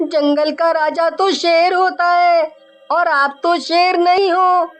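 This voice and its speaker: A woman voicing a small outspoken child in a horror story, slow and childlike. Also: very high-pitched, steady tone, soft background music. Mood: cheeky.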